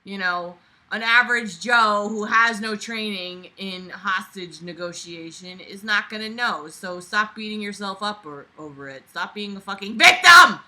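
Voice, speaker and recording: Bright, sharp, sarcastic woman reading aloud. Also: mid-range voice, expressive, unhurried, poor audio. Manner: sarcastic, exasperated, foul-mouthed. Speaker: online book reader and commentator.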